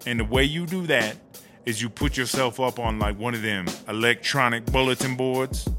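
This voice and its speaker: A man's voice: medium pitch, normal speed, over music, lightly acted. An actor playing a brash, proud woman prison inmate who tells stories in swaggering street slang for a comedy audio drama. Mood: swaggering storytelling.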